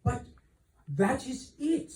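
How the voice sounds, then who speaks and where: A man's voice: very high voice, big pitch swings, slow pace, darkish tone, pitched above the performer's own voice, exaggerated voice. A man voicing an earnest, humble young servant woman from a novel, with a hesitant, deferential way of speaking. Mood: earnest, frustrated. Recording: roomy echo, distant microphone.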